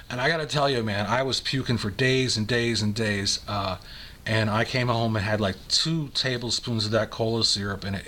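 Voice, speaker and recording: An older man with an American accent and a husky voice, reading aloud and chatting in a rambling, nostalgic way. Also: mid-range voice, a bit bright. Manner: rambling, nostalgic, conversational asides. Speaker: amateur reader and host. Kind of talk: dramatic reading of old recipes with casual reminiscing.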